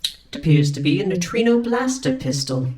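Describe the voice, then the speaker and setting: An actor playing a dutiful, literal-minded android valet, formal and deadpan, in a comic sci-fi audio drama. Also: male voice, fairly high pitch, normal speed, crowd noise. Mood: matter-of-fact, apologetic.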